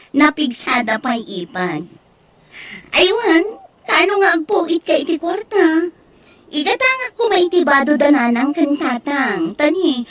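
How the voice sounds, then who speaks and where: A high-pitched, sharp-tongued woman of a radio drama. She is bossy and scornful, with a scolding, dismissive way of talking to her household. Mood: casual. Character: bossy, scornful gambling daughter who berates her elderly in-laws. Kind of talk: radio-style Ilocano drama acting.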